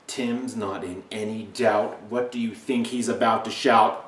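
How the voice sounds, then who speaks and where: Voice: medium pitch, fairly even intonation, unhurried, far from the mic. A storyteller reads a picture book expressively, with playful, dramatic emphasis. Chatty asides break up the reading. The voice is set back from the mic in an echoey room. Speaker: read-aloud storyteller.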